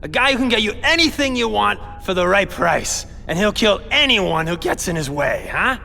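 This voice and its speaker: A man's voice: sits high, big pitch swings, normal speed, gravelly, sharp tone, full character voice. A man playing a jittery, blustering street criminal in a video game cutscene, stammering and tagging his lines with nervous questions. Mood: nervous, evasive. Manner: stammering, punctuates talk with questioning tags.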